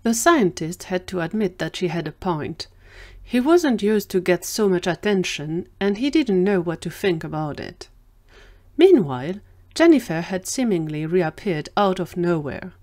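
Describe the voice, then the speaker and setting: Low-pitched woman narrating fiction. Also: big pitch swings, unhurried, dark tone. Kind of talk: scripted story narration.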